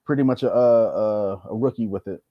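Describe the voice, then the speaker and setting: A man's voice: fairly low pitch, normal speed, no echo, lo-fi sound. A man appearing as a show guest, chatting casually and telling stories in a jokey, informal way. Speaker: show guest, comedian and wrestler. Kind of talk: casual chat.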